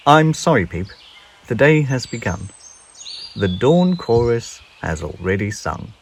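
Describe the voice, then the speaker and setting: A man's voice: medium pitch, very animated, a little slow, slight background noise. A man voicing a friendly but firm bird who conducts a woodland choir in a storybook, chirpy and emphatic. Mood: firm, apologetic.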